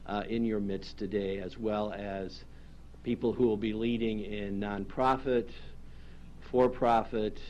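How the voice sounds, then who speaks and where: A man speaking to a county board as a program coordinator, in a measured and courteous manner. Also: on the low side, a little mellow, slight background noise, low-quality recording. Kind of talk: formal address to a public board. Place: county legislative chambers.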